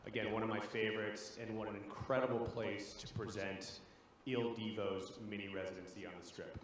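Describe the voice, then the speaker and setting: A man presenting a show on stage, enthusiastic and a little halting. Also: fairly low pitch, little pitch movement, slight background noise. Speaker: event presenter. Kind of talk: formal stage announcement. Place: large theater. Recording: echoey theater, faint ambience.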